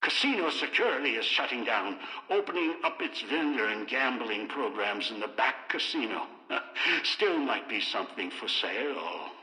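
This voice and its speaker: A man's voice: mid-range voice, sing-song, normal speed, pushed and bright, roomy sound, long reverb tail, rough recording. An older man acting a bitter, commanding old schemer in a video game, giving terse, ominous orders over a radio speaker. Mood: calculating, terse.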